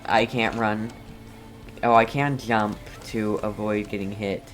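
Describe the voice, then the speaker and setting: A player giving casual, halting commentary on a video game while playing, reacting in short murmured asides. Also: medium pitch, steady tone, soft background music. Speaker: game streamer. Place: voice-over on game footage, game music behind.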